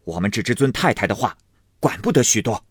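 A man's voice: fairly low pitch, sing-song, fairly quick, breathy edge, dry recording, clean studio sound. A man voicing a middle-aged woman servant from a classic novel who is cold, impatient and bossy. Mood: sneering.

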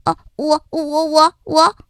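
A woman voicing a nervous little boy ant who fibs and stammers in a rushed, higher voice. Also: flat delivery, vocal fry, fairly bright tone, no room sound, studio quality, full character voice. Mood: flustered. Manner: stammering and rushed when caught lying.

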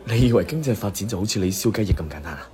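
Cantonese radio drama: burdened, sardonic businessman played by a man, low-pitched voice, weary delivery. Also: animated, rapid-fire, mellow, natural voice. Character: male struggling company boss, burdened, sardonic old classmate.

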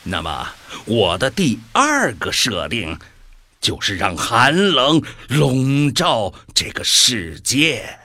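A cold, haughty devil, acted by a man in a radio drama. His delivery swings widely in pitch and moves slowly, with a bright, hard edge. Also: sing-song, no echo, heavily acted. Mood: cold, scheming. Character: calculating, cold male devil setting a planet's fate.

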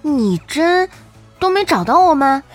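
A woman's voice: high-pitched, very animated, unhurried, faint music behind. A woman playing a curious, eager little boy, an older brother, in an audio drama, speaking in a childlike manner. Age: child character.

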